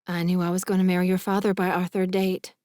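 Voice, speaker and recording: A woman's voice: fairly low pitch, little pitch movement, fast, mellow, no room sound, clean studio sound, natural voice. Woman acting a protective, no-nonsense worried mother. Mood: gentle, doubtful. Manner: stern, fussing, motherly. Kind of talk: audiobook character dialogue.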